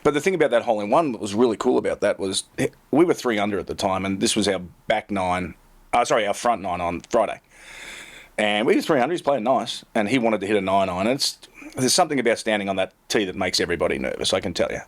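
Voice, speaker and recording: A man with a very low voice tells stories from years of caddying on tour. He talks fast, restarts his sentences, and pokes fun at himself. It sounds like a relaxed podcast conversation. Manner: rambling, self-deprecating, laughs through anecdotes. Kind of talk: casual podcast storytelling.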